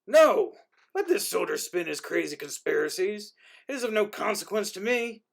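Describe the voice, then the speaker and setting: A man's voice: sits high, very animated, normal speed, creaky, heavily acted. A man playing an imperious military commander, cold and dismissive, in a play reading. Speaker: amateur actor.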